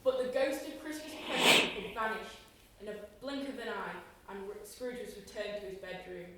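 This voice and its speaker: A woman's voice: mid-range voice, lively intonation, moderate pace, pushed and bright. A teenage girl reading scripted dramatic narration for a radio play. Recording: distant, very echoey, noisy room.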